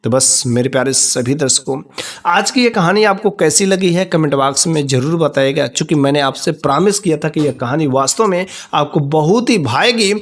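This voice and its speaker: High-pitched male storyteller. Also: expressive, normal speed. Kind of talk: informal moral storytelling.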